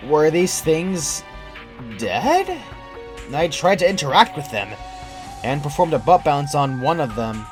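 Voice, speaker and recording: A man reading a scripted first-person horror story as its narrator. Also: mid-range voice. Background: background music.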